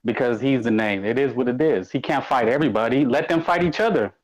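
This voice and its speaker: A man's voice: mid-range voice, fast talker. A man arguing bluntly in a casual boxing podcast debate over an online call. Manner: blunt, argumentative. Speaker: podcast panelist. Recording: dull lo-fi call audio.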